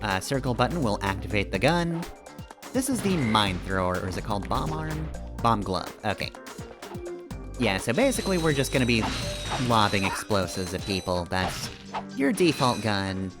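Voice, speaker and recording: A man's voice: on the low side, very animated, normal speed. A man streaming a video game with casual, rambling commentary, analytical and wry. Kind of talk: casual live gameplay commentary. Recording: game music behind the voice. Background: video game music.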